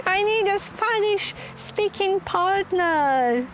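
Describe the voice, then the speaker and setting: A woman's voice: extremely high pitch, animated, drawn-out words, a little hiss or hum. A woman from Poland with a Polish accent, chatting casually on a live stream from her touring caravan and answering viewer comments. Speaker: channel host.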